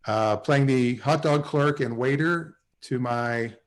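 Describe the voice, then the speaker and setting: A man's voice: medium pitch, takes it slowly. A man in his forties casually hosting and introducing people on a video call livestream. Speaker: host and writer.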